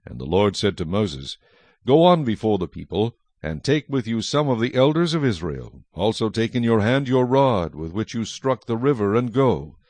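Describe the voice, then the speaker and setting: Male narrator, fairly low voice, widely varied intonation, solemn scripture reading, dry close sound. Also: big pitch swings, normal speed, darkish tone, no echo.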